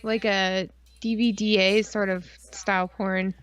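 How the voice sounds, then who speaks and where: A woman's voice: mid-range voice, unhurried. A woman co-hosting a podcast in casual chat, rambling and swearing freely as she tells stories and acts out asides. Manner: profane, rambling, animated storytelling with acted-out asides. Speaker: podcast co-host.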